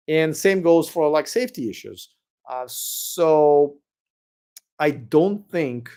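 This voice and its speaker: A man hosting a casual live stream, reading viewer questions and answering them in a rambling way with many fillers and restarts, his voice going hoarse. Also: mid-range voice. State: losing his voice.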